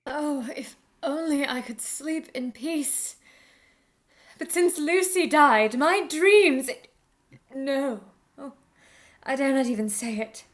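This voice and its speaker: A woman's voice: sits high, expressive, takes it slowly, slight background noise. An actress in a radio play voicing a haunted, fearful young woman, breathless and easily frightened. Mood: troubled.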